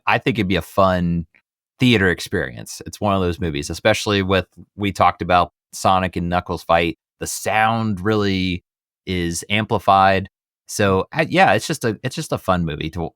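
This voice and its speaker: Low-voiced man hosting a casual podcast. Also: a bit bright, no echo, clean studio sound. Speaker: movie podcast host. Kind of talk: casual film review chat.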